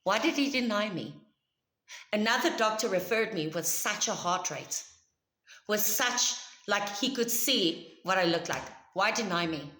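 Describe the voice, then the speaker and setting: A woman with a South African accent whose first language is Afrikaans tells a personal story to camera. She speaks loudly and emphatically in a chatty way. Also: mid-range voice, animated, a little slow, echoey room. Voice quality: loud voice. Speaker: vlogger.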